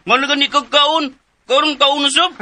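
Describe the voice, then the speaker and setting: Childlike young man, high, very sharp voice, simple halting babyish talk, radio drama, noisy track. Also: steady tone, soft background music. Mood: playful, stubborn. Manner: halting, babyish phrasing, short simple replies. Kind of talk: Cebuano radio drama acting.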